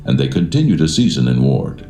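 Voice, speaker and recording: A man's voice: rumbling low, very animated, brisk, mellow, echoey room, soft background music. A man narrating scripture from a script in a solemn style.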